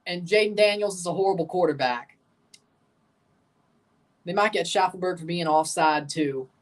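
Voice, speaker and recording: A young man with a low voice hosting a livestream, giving blunt, opinionated hot takes while reacting to a soccer match and answering chat questions. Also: moderate pace, distant mic. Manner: excitable, jumps high in pitch when agitated.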